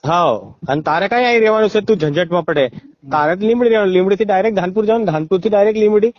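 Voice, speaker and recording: A man's voice: high-pitched, racing, no room sound. A middle-aged man with a rural eastern Gujarat accent, talking insistently and domineeringly in an informal, persuading way on a recorded phone call. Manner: insistent, domineering, talks over the listener. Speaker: political party member. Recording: muffled, band-limited phone call recording.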